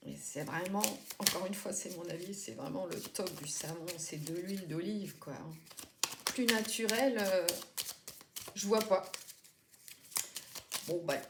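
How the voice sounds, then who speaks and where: A woman giving a casual tarot reading, chatting and rambling with many fillers and self-corrections. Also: mid-range voice. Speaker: tarot reader. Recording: echoey room with clear background noise.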